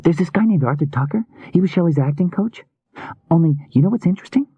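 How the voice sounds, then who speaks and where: A man's voice: on the high side, animated, fast talker, breathy edge, dry recording, muffled recording, voice pushed up. A man voicing an inquisitive, quirky young woman with slangy, eager, casual speech in an audiobook. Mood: earnest. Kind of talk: audiobook character dialogue.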